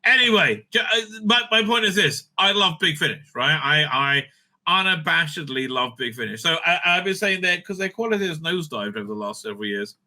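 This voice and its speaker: A man's voice: a little high, expressive, brisk, pushed and bright. A man giving rambling, opinionated commentary as a video host, blunt and dismissive, often repeating himself and swearing. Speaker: YouTube host and reviewer.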